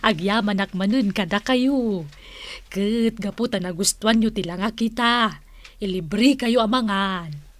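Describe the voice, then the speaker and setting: An actress with an Ilocano accent playing a vain, playful, flirtatious auntie in a radio comedy drama. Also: female voice, a little high, animated, takes it slowly, no room sound. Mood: playful, pleased.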